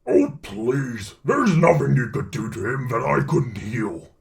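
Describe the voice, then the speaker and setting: A man acts out a protective alien symbiote in a superhero story. The voice is low and slow, with heavy creak, and speaks in a grave, measured way. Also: very animated, darkish tone. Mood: scornful. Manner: solemn, measured.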